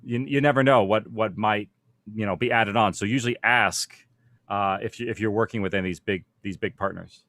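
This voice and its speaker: A man's voice: on the low side, brisk, a bit bright, no room sound, studio quality. A man speaking conversationally as a panelist, explaining production matters to others. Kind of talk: conference panel discussion.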